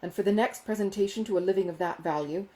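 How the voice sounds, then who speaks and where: A woman voicing a self-important, money-minded gentleman in a Regency audiobook, exclaiming and confiding in a pompous manner. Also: mid-range voice, quick pace, close to an everyday voice, low-quality recording. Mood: astonished, reasoning. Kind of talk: acted audiobook dialogue from a Regency novel.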